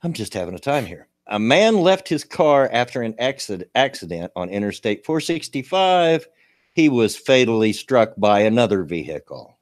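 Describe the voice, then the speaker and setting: A man in his late fifties with a gravelly voice, hosting a casual livestream talk show and reading local news in a folksy, rambling way. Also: medium pitch, very animated, moderate pace, no echo, studio quality. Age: 50s. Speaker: show host.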